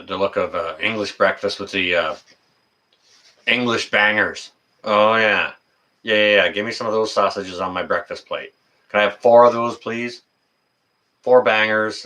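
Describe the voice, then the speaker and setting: Male, 50s, deep but forward and bright voice; folksy, rambling, unscripted livestream chatter with a halting flow. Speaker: livestream host. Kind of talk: casual livestream chat.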